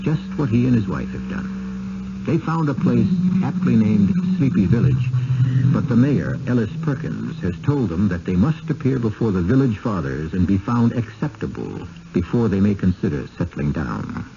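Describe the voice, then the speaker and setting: A man hosting a 1970s radio mystery, reading suspense narration in a measured, formal, dramatic way. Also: fairly low pitch, sing-song, gravelly, very noisy. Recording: muffled 1970s radio broadcast. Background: music.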